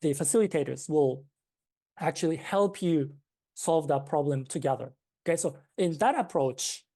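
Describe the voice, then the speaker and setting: A Japanese-accented woman in her thirties explains her ideas as an interview guest, pausing often on fillers and restarting her sentences. Her voice sits low and sounds soft and mellow. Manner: hesitant, many fillers and restarts. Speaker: startup founder, guest.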